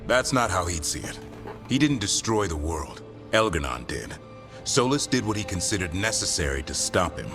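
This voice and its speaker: A man voicing a blunt, steady warrior companion in a fantasy video game, plain-spoken and firm. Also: low-pitched, moderate pace. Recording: music behind.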